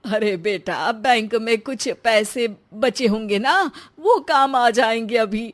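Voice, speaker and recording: Casting: woman as homely, caring elderly mum for a cartoon tale, gentle, slightly high pitch. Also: lively intonation, slow. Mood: concerned. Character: modest, caring elderly mother of a grown son.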